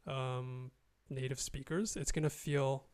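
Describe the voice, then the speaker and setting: A man's voice: medium pitch, steady tone, takes it slowly, darkish tone, clean tone. A young man chatting as a guest on a video call, hesitant, with many fillers and restarts, recorded on a close studio mic in a small room. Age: young adult. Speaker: guest, language learner and youtuber. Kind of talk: casual video-call conversation. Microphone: studio mic close in front, earphones.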